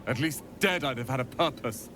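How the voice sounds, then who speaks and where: A man's voice: medium pitch, brisk, background noise, natural voice. A man playing a proud, prickly, self-loathing adventurer in a fantasy video game, exasperated and bitingly sarcastic. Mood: bitter, sarcastic. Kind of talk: dramatic video game dialogue. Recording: music under the voice.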